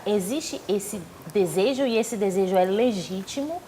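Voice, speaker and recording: A woman hosting a studio talk show, introducing guests with gushing, effusive praise and quick chatty asides. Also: mid-range voice, dark tone, a little hiss or hum.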